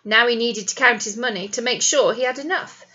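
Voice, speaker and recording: A middle-aged woman, a primary teacher reading a picture book to young children in an animated, explanatory way with questions to them. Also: a little high, brisk, lo-fi sound. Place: small indoor classroom. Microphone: webcam or device at arm's length.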